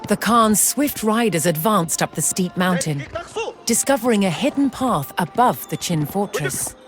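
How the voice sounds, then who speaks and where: A woman giving a measured, formal, scripted historical narration in a documentary style. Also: mid-range voice, animated. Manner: measured, formal storytelling delivery. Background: music.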